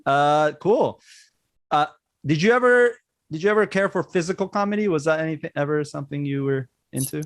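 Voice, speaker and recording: A man's voice: a little high, lively intonation, brisk, no echo, studio quality. A man in his forties with a Persian accent, who grew up in Iran, hosting a casual podcast chat full of fillers and repeated yeahs. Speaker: podcast host.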